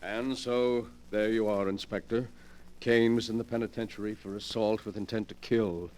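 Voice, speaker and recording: An actor playing a cunning, suave gentleman schemer in 1940s radio mystery style, with a smooth, soothing, condescending and deliberate way of talking. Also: lowish voice, fairly even intonation, close to an everyday voice. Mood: feigned regret.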